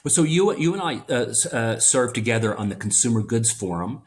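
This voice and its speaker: A man's voice: medium pitch, lively intonation, a little fast. Male interview host, friendly and welcoming, mid-range voice, loose conversational delivery. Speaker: podcast host.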